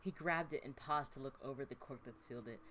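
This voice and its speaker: A woman's voice: very deep voice, fast, a crowd in the background. A woman reading a visual novel aloud as a let's play host, with slangy, playful asides. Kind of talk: visual novel read-aloud with casual reactions.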